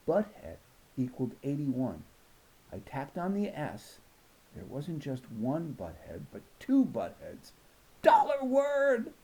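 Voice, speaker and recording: A man reading a children's novel aloud as an expressive first-person narrator. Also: medium pitch, rough recording. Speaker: audiobook reader.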